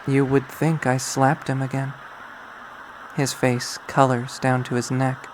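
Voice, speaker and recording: A man reading a visual novel aloud as dramatic first-person narration, in a measured way. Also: mid-range voice, little pitch movement. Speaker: game player reading aloud. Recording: faint background noise.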